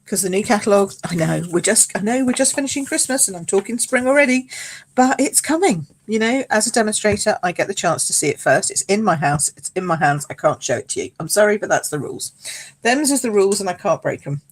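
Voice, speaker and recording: A British woman with a croaky voice, hosting a live card-making class from home, chatting and greeting viewers by name as she works. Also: medium pitch, lively intonation, fast, rough recording. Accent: British English. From United Kingdom. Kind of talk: casual live craft demo, chatting with viewers. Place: home craft space.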